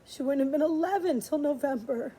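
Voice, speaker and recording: A woman reading a storybook aloud in an expressive, dramatic way with drawn-out pauses. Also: high voice. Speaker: audiobook reader, librarian.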